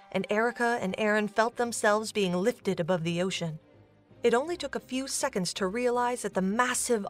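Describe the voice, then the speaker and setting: A woman narrating a scripted dramatic story as the narrator of an audio drama. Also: medium pitch. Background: music.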